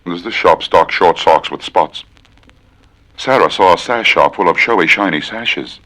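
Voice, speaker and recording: A man's voice: low-pitched, measured pace, a bit bright, rough recording. A man giving a theatrical recitation of wordplay with precise, dramatic enunciation. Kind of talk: theatrical tongue-twister recitation.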